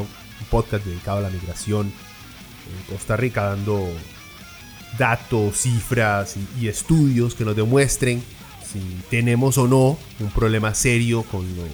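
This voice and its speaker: This is a man from Peru hosting a podcast of political commentary. His delivery is blunt and cutting, with many small fillers, at a middle pitch. Soft music plays faintly behind him. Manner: blunt, sarcastic, talks with frequent fillers. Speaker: podcast host.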